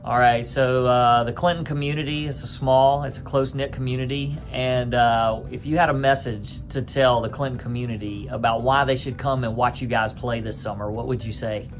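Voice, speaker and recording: A man's voice: mid-range voice, steady tone, moderate pace, hardly any pauses, soft background music. A man interviewing soccer players, asking friendly, upbeat questions in a casual way.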